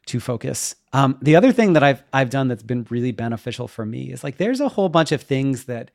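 A middle-aged man in a casual podcast conversation. He explains himself reflectively in a mid-pitched voice with a creaky edge. The sound is dry, with almost no room. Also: a little mellow, dry recording. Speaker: interview guest, web developer and educator.

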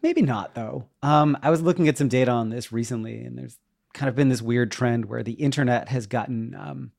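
A man's voice: medium pitch, brisk, gravelly, a little mellow, no room sound, studio quality. A middle-aged man talking reflectively in a conversational interview, in a hesitant, thoughtful, self-questioning manner. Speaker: podcast guest, web developer and educator.

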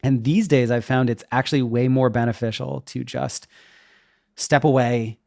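A man's voice: mid-range voice, fairly even intonation, moderate pace, creaky, a little mellow, no echo, studio quality. A middle-aged man talking casually and reflectively as a podcast guest, explaining things in an informal way. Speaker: interview guest, web developer and educator.

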